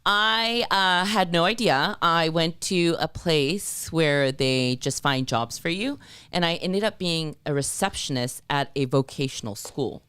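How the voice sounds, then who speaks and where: A woman in her forties with a low voice talks as a guest on an interview show. She tells lively stories in a casual way, with sudden jumps up in pitch. The sound is close and dry. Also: measured pace, smooth, dry recording. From born in Korea, raised in the US. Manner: lively storyteller with laughs and sudden high jumps. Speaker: guest realtor. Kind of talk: casual chat.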